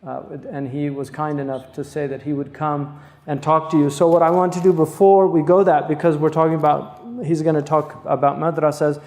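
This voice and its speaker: A man with a slightly raised, gravelly voice hosts a lecture, introducing a guest scholar. He talks off the cuff, pausing often and leaning on fillers. The sound carries the long echo of a large hall. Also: a little high, flat delivery, darkish tone. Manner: halting, many pauses and fillers. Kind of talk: unscripted introductory talk at a lecture. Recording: echoey hall, distant mic.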